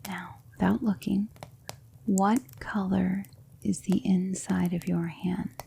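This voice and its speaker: A woman playing a gentle, patient demon mentor in an ASMR roleplay, coaxing her student with soft, deliberate instruction that often drops toward a whisper. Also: mid-range voice, little pitch movement, vocal fry, slight background noise. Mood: hushed, coaxing. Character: gentle female demon mentor teaching magic, patient, reassuring.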